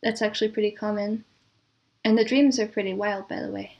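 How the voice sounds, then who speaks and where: A woman answering as a composer guest in a casual, chatty interview, quick to laugh. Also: mid-range voice, steady tone, a little mellow, lo-fi sound.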